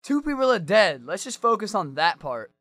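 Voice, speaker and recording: An anxious young man acted by a male student in an amateur radio play. The voice is high and lively in pitch, accusing his friends while urging calm. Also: normal speed, dry recording, full character voice. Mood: tense, accusing. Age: young adult.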